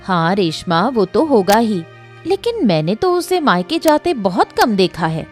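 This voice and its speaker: A woman's voice: medium pitch, big pitch swings, measured pace, soft background music. A woman playing a curious, sympathetic older neighbour in an acted story, gossipy and inquisitive. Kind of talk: acted Hindi audio story dialogue.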